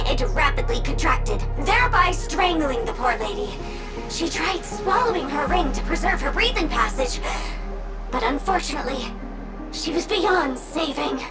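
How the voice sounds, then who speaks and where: A woman's voice: extremely high pitch, full character voice. A woman playing a playful, melodramatic girl detective in a video game, declaiming in an exaggerated, theatrical way. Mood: theatrical mock sorrow.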